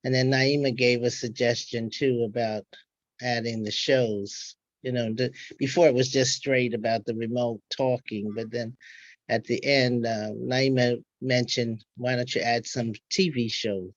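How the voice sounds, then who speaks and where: A woman's voice: a bass voice, steady tone, measured pace, dry recording. Muffled older woman on a call. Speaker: workshop participant and writer. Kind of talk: casual recollection in a panel discussion. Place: remote call-in to online event. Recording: muffled, band-limited call audio.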